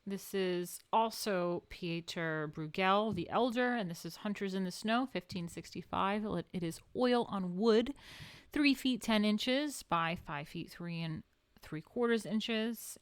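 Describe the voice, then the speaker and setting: A woman with a New York accent and a fairly low voice reads an art history book aloud for her viewers on a stream. She breaks off now and then to add wry, offhand comments of her own. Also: slow, smooth. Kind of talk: casual livestream reading and commentary.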